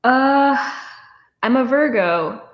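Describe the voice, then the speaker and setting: Young woman, 19, relaxed live-stream Q&A host, chatty with filler words, roomy webcam sound. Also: high-pitched, very slow. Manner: casual, chatty, many fillers and restarts. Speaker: streamer answering chat questions. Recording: roomy echo.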